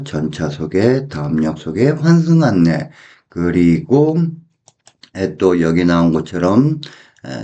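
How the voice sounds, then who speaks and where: Guy explaining phrases bit by bit, mellow and slow, repeats stuff. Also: low voice. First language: Korean. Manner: deliberate, repeating phrases and translating them piece by piece. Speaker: Japanese language teacher. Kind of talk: explanatory language lesson.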